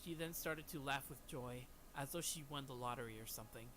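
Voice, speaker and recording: Young male narrator, scripted first-person drama storytelling, medium pitch, noticeable noise behind the voice. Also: brisk.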